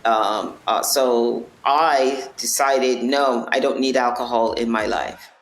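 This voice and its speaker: A woman's voice: very deep voice, steady tone, slow, roomy sound, rough recording. A woman from Barbados answering interview questions earnestly, often restarting and checking in with "right?". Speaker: non-alcoholic bar owner.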